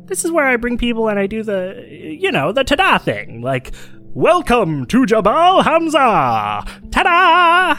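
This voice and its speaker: A woman's voice: a little high, wildly swooping pitch, a little slow, fairly bright tone, soft background music, full character voice. An actress playing an eager, informal woman showing people around in an audio drama, chatty and showy. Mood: eager, bubbly. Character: excitable young woman guide, eager and informal. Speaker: tour guide at a launch facility. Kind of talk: naturalistic audio drama acting.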